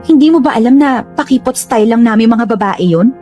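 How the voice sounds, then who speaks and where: An actress playing a bossy, excitable grown daughter in a radio drama, speaking emphatically. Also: female voice, fairly high pitch, brisk, soft background music, natural voice. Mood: conceding, wry. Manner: emphatic, mock-interrogating.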